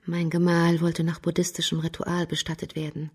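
Genteel widow for radio crime drama, reserved and formal, breathy-rough tone, very even pitch. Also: female voice, moderate pace. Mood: solemn, deferential. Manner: formal, deferential, composed. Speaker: actress playing a widow.

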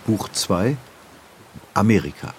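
A man's voice: fairly low pitch, lively intonation, takes it slowly, a little hiss or hum. A man narrating a documentary in a formal, announcing manner. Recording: music underneath.

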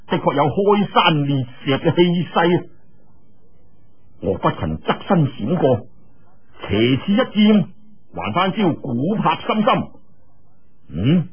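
A man's voice: on the high side, lo-fi sound. A man telling a martial-arts tale in Cantonese in a theatrical, colloquial storytelling manner, full of exclamations and asides.

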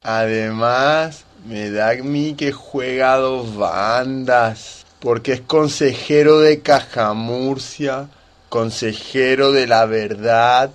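A man's voice: medium pitch, takes it slowly. A man speaking colloquially and mock-earnestly as the patient in a satirical radio sketch. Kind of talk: slow, casual satirical sketch dialogue.

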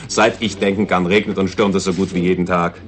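A man's voice: low voice, flat delivery, quick pace, close to an everyday voice. A man playing an offhand, jovial and mocking local drinker in a 1960s radio drama. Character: jovial, mocking male tavern drinker, laid-back local. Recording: clearly noisy, busy background ambience.

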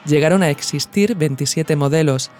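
Female podcast narrator, measured and reflective scripted reading, low-pitched for a woman, faint music underneath. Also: a little mellow. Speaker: podcast host and essayist.

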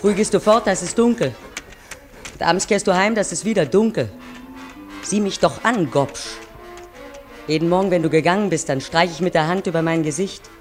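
An actress playing a weary railwayman's wife in a 1950s radio play, addressing her husband intimately and dramatically. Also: sits low. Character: weary, pleading railwayman's wife looking back on youth. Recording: 1950s radio recording over music.

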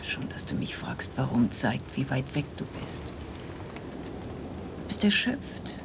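A low-voiced, worried wife in an intimate radio play. She speaks in a breathy near-whisper, weary and tender with a reproachful edge. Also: sing-song, unhurried, exaggerated voice. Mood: worried, pleading. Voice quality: breathy, hushed. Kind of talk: naturalistic German radio drama acting.

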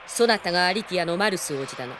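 An actress playing a proud, grave warrior princess with formal, stately diction in a radio drama, with music underneath. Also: female voice, lowish voice, animated, very fast, noisy background, natural voice. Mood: proud, commanding. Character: proud, solemn warrior princess, noble dragon knight commander. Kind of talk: Japanese radio drama acting.

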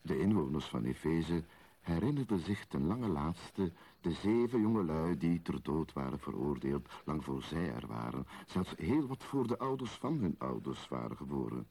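An actor playing a solemn storyteller of a mystical legend, narrating poetically and speaking straight to the listener in a radio play. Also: male voice, very deep voice, very animated, normal speed, warm tone, slightly rough, exaggerated voice. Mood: solemn, mysterious. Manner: solemn, incantatory, addressing the listener directly.

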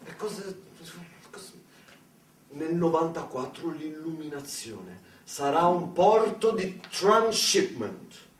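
A man's voice: a little high, expressive, slow pace. An Italian man performing a satirical theatre monologue in an ironic, colloquial way, full of rhetorical questions and asides. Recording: echoey room, distant microphone.

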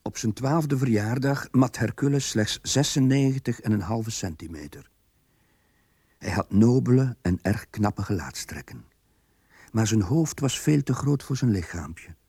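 Dark, gravelly male narrator. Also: mid-range voice, expressive, a little slow, dry recording, clean studio sound. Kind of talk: scripted radio drama narration.

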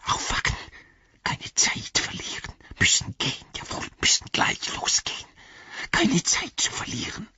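A man voicing a creepy, agitated sneaking creature for an audiobook, hissing and rasping in urgent, clipped, repetitive phrases. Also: sits high, wildly swooping pitch, slow pace, a bit bright, no echo, voice pushed up, heavily acted. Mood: urgent whispering. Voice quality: hissing, whispery, raspy. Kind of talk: audiobook character voice.

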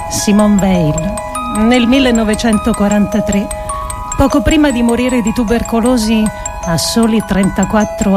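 A woman performing a scripted literary monologue as a radio actress, solemn and deliberate, with emphatic phrasing and pauses. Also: a little high.

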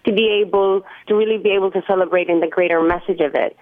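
A woman's voice: lowish voice, fairly even intonation, racing. Woman on a tinny phone line. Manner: earnest, searching for words with restarts. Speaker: musician guest. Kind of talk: interview over the phone.

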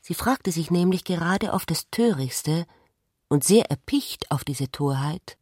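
A woman reading literary narration in a measured, contemplative manner for a radio play. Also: fairly low pitch, lively intonation, darkish tone, breathy edge, dry recording, studio quality.

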